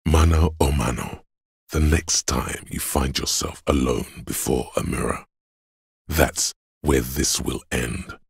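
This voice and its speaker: Game casting: grandiose, sardonic inner voice of endurance, slow and gravel-throated, very dry close sound. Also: male voice, fairly even intonation, dry recording, heavily acted. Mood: solemn, ominous. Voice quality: very deep, gravelly, husky rasp. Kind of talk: video game voice acting.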